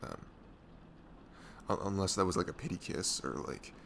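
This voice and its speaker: A man playing a tender, nervous best friend in an intimate ASMR roleplay, speaking softly and hesitantly in a low, gravelly voice close to the mic. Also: moderate pace, a little hiss or hum. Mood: stunned, flustered. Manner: hesitant, stammering, soft-spoken.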